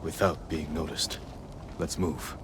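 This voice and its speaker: An actor playing a grave, resolute samurai warrior in a video game, speaking in a terse, solemn, measured way. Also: male voice, very deep voice, fairly even intonation, fairly bright tone, slight background noise, full character voice. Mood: grave resolve. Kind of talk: video game cutscene acting.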